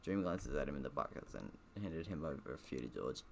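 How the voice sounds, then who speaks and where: This is a man with a very low, gravelly voice playing a visual novel for viewers. He reads the text aloud with lively swings in pitch, then breaks off into sarcastic, grumbling commentary on it. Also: a little fast, slight background noise. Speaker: streamer playing and reading a game aloud.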